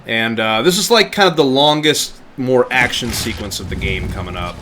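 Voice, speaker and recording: A man's voice: medium pitch, expressive, moderate pace, sharp tone. A man giving casual live commentary as he streams a game. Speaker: game livestreamer. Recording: game engine noise behind voice. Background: game vehicle sounds.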